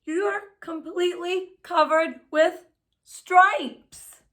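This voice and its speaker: A woman's voice: extremely high pitch, steady tone, drawn-out words, fairly bright tone, voice pushed up, exaggerated voice. A woman voicing an anxious, strict mother in a storybook, with a dramatic, bossy manner. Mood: shocked.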